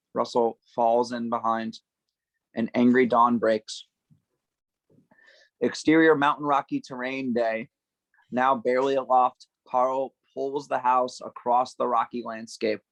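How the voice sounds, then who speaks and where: A man narrating a screenplay's stage directions at a table read, with a gravelly voice. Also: mid-range voice, no room sound, low-quality recording.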